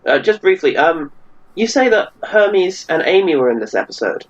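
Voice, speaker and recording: A man's voice: high voice, very animated, moderate pace, a crowd in the background. A man chatting casually as a podcast panellist on dull, faulty-sounding audio. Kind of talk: casual chat.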